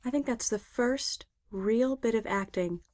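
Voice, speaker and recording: A woman's voice: fairly high pitch, measured pace, darkish tone, slight background noise, lightly acted. A woman playing a bossy, exasperated play director who coaxes and interrupts at rehearsal, in a video game. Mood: impressed. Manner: coaxing, interrupting, pushing for energy. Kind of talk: dramatic game voice acting.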